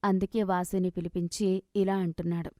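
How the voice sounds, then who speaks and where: A woman narrating a scripted moral story as an expressive, dramatic storyteller. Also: lowish voice, normal speed, dry recording, studio quality.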